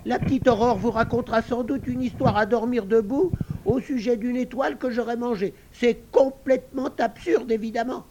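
A man's voice: extremely high pitch, moderate pace, dull audio, noisy background, voice pushed up. A man doing a squealing, wheedling little pig. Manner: breathless, begging, then wheedling. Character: sly, pleading little pink pig.

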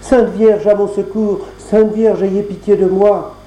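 A man voicing a sweet, obedient young maiden who speaks softly, in an echoey room. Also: high-pitched, flat delivery, a little slow, voice pushed up. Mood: pleading.

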